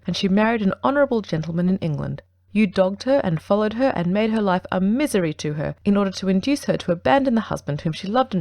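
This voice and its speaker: A woman playing a stern, commanding gentleman detective in a dramatic audiobook reading, formal and accusing. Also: lowish voice, gravelly, no echo.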